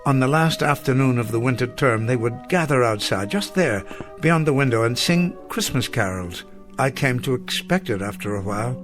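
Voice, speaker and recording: An actor playing an elderly, courtly schoolteacher in a radio drama, wistful and nostalgic, speaking in formal, wordy, self-deprecating phrases. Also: male voice, mid-range voice, animated, normal speed, close to an everyday voice. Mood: rueful. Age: older. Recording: music behind the voice.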